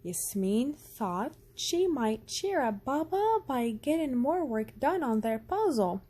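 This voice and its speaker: A woman reading a children's storybook aloud as narrator, expressive and deliberate. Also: high voice, slightly rough.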